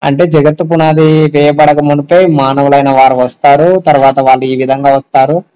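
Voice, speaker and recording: A man's voice: on the high side, little pitch movement, moderate pace, mellow, clear, resonant tone. A man talking casually on a phone call about faith, explaining and often asking check-back questions. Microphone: telephone.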